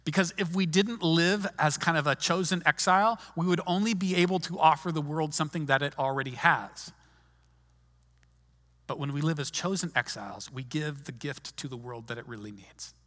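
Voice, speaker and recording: A man's voice: a little high, moderate pace, sharp tone. A man preaching a sermon in a conversational way, often restarting his sentences, recorded in a large hall. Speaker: pastor. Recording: long hall reverb.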